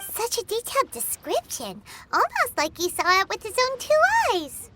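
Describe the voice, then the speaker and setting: A woman's voice: very high-pitched, big pitch swings, moderate pace, very noisy. A woman voicing a small, perky, blunt fairy companion in a video game, with a cheeky, nosy, childlike manner. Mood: suspicious, curious. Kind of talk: animated video game voice acting. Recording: music behind the voice.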